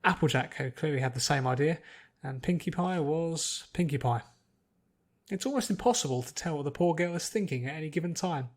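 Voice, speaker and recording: A man's voice: fairly high pitch, fairly quick. A man reading scripted fantasy fiction aloud as its narrator.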